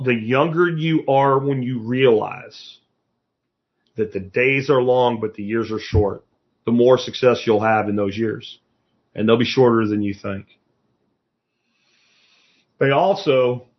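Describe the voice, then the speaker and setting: A man's voice: mid-range voice, dull audio. A man in his fifties giving a blunt, profane motivational talk as a podcast host, lecturing his listeners. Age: 50s.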